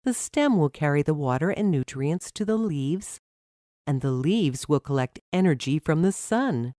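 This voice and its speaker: A woman voicing a patient, encouraging mother who explains things gently to her child in a storybook. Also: sits low, lively intonation, unhurried, no room sound, clean studio sound. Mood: patient, explanatory.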